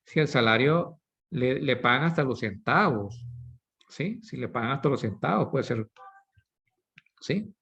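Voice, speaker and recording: A man's voice: mid-range voice, lively intonation, a little slow, creaky, long pauses, fairly bright tone, breathy edge. Man teaching, checking in often. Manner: asks "¿sí?" and "¿verdad?" constantly; self-correcting, explains with everyday examples. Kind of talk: recorded statistics lecture. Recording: slightly dull lo-fi recording.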